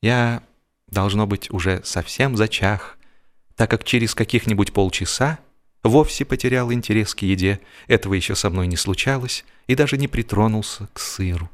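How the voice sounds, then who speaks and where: A man with a fairly low voice reads comic literary prose as an audiobook narrator. His phrasing is expressive and theatrical, delivered with mock seriousness. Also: moderate pace. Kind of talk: scripted humorous literary narration.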